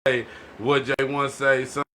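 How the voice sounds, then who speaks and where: A man's voice: mid-range voice, little pitch movement, measured pace, bright, forceful tone. An American man hosting a podcast in a slangy, conversational way. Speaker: podcast host reading out listener comments. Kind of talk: casual podcast chat. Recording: noisy background.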